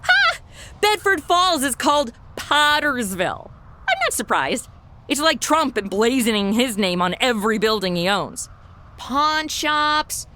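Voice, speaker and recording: Woman playing a brash, high-pitched angel trainee. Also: sing-song, a little slow, pushed and bright, a little hiss or hum, exaggerated voice. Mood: flippant, wry. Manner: sassy, exclamatory modern slang. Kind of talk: comedy audio drama acting.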